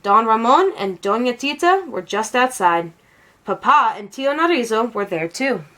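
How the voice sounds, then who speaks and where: Female storybook narrator, expressive, highish voice, very lively intonation. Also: moderate pace. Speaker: storybook read-aloud narrator.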